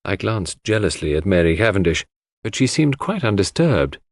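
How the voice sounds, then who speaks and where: A man narrates a literary story in the first person. His voice sits low and moves with a wide, animated range. The sound is dry and close. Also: normal speed, no room sound. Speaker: audiobook narrator.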